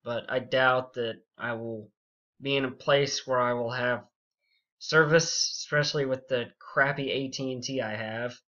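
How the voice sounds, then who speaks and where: Slow, halting young man, muffled audio. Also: medium pitch, fairly even intonation, pushed and bright. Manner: rambling, halting, full of asides. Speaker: YouTube storyteller. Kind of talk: casual unscripted storytelling.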